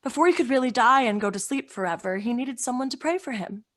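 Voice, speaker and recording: Earnest teenage girl, high voice, gentle, solemn, lively melody, radio play. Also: quick pace. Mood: solemn, tender. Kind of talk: old-time radio drama acting.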